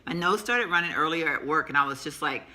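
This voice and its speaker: Bright-voiced woman vlogger. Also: deep voice, fast. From Texas, USA. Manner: rambling, chatty, laughs often. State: coming down with a cold, sore throat. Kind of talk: casual chatty vlog. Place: sitting at home.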